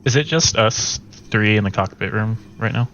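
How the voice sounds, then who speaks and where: A man's voice: lowish voice, moderate pace, a bit bright, slight background noise. A man playing a tabletop role-playing game, improvising and chatting casually, recorded with slightly lo-fi sound.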